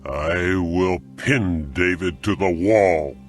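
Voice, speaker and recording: An actor playing a jealous, scheming older king in a dramatized scripture audio drama, speaking slowly and broodingly. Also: sits low, faint music behind. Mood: quietly scheming.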